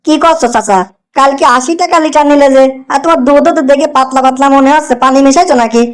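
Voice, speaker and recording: A woman's voice: high voice, fast talker, natural voice. A woman playing a suspicious but gullible market customer in a comic cartoon, querying and haggling. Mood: doubtful.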